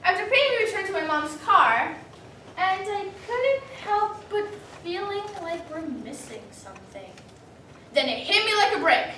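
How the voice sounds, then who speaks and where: A young woman performing a comic monologue for reader's theater in an animated, dramatic way, recorded from a distance in an echoey room. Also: very high voice, a little slow. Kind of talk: staged personal comic monologue. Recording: echoey room, distant mic, faint noise.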